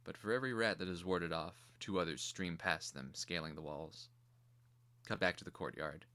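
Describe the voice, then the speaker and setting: A man narrating a screenplay as scripted drama, in a grave, deliberate and theatrical manner. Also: sits low, sharp tone.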